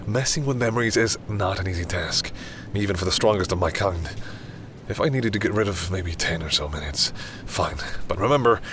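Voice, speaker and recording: A very low-voiced male vampire, acted by a man in a dramatic audio roleplay, speaks with haughty, deliberate command that often sinks into hushed brooding. He is menacing yet reluctantly caring toward mere humans, and there is clear noise behind him. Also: expressive. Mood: negotiating, apologetic.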